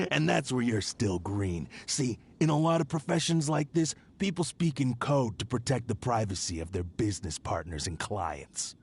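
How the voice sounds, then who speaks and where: A gravelly game bandit, nervous then know-it-all, voice bounces around. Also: male voice, mid-range voice, sing-song, measured pace, slight background noise. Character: jumpy male treasure hoarder bandit, know-it-all. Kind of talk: video game voice acting.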